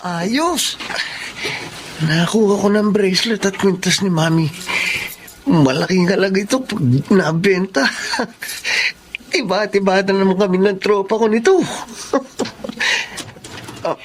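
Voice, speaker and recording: A man's voice: sits high, a little slow, pauses often, slight background noise. An actor playing a brazen, spoiled, thieving young man in a Filipino radio drama, cocky and dismissive. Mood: gloating.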